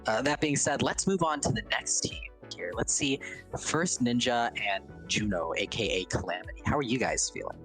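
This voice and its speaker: A man's voice: mid-range voice, animated, fairly bright tone, soft background music. A man casting a game tournament stream, joking and playful. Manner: joking, quick laughs, playful. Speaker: caster. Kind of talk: casual game stream chat.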